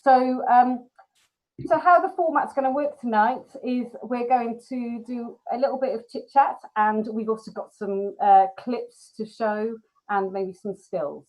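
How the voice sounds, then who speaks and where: A woman's voice: a little high, steady tone, measured pace, darkish tone, low-quality recording. A woman hosting a casual live filmmaker Q&A, talking in a chatty, informal way.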